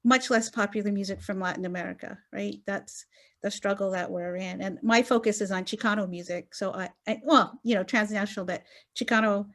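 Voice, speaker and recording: A woman's voice: mid-range voice, steady tone, brisk, fairly bright tone. Earnest woman on a video call panel. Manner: earnest, thinks aloud with many fillers and "right?" tags. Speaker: gender studies scholar on roundtable panel. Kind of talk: academic panel discussion over video call.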